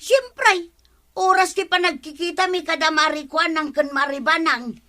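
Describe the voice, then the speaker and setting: Shrill, boastful Filipino village wife. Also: female voice, pushed and bright, exaggerated voice. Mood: complaining, excitable. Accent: Ilocano. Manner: exclamatory, drawn-out, theatrical delivery. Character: boastful, quarrelsome gossipy village wife, Marituyang. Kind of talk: Ilocano radio comedy drama acting.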